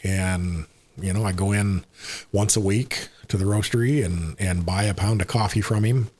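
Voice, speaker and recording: Older american guy in his 60s, deep and kinda husky, just rambling along in a laid back interview. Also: fairly even intonation, moderate pace, darkish tone. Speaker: podcast guest. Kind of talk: casual conversational interview.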